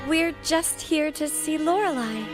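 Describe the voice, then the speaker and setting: An earnest young female companion in a video game, clearly high in pitch. She talks with gentle hesitation and wonder, with music audible behind her. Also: animated, unhurried. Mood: polite.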